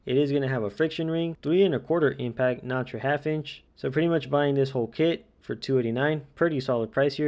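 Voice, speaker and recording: A man's voice: mid-range voice, a little mellow. A man doing an informal, matter-of-fact voice-over as a host running through product deals. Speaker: tool deal reviewer and channel host. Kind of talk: casual product deal rundown voice-over.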